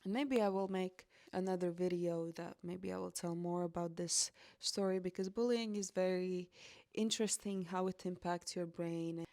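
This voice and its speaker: A woman's voice: fairly low pitch, fairly even intonation, moderate pace, a little mellow, dry recording, studio quality. A young woman from Latvia hosting her own podcast, telling her life story in an earnest, reflective way. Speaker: podcast host. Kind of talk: personal storytelling monologue.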